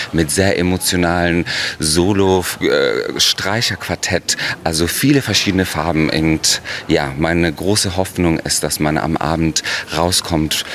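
A man's voice: a bass voice, a bit bright, slight background noise. A man from Italy with an Italian accent, a choreographer and director explaining his work in an interview.

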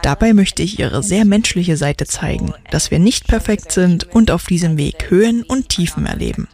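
Male voice-over, notably high pitch, reading a translated interview statement. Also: sing-song, normal speed, a little mellow.

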